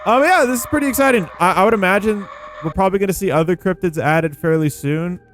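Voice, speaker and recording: A man giving casual gameplay commentary, thinking out loud in an informal way. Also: high-pitched, quick pace, a little hiss or hum. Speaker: gaming video creator. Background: background music.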